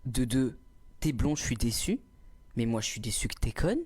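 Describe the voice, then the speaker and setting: A young man hosting a casual livestream, answering chat and spinning cheeky, self-mocking stories. His voice sits at a middling pitch. It is picked up close on a small, inexpensive microphone. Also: animated, moderate pace, a little mellow, breathy edge. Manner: playful, teasing, self-mocking storyteller. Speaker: livestreamer reading chat comments. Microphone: cheap small clip microphone.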